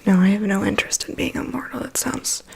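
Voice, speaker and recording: A woman playing a calm, prying vampire's assistant in an ASMR roleplay, murmuring dry asides and reassurance in a breathy whisper. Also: medium pitch, fast talker. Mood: dry, nonchalant. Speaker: ASMR performer. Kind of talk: ASMR roleplay, personal attention.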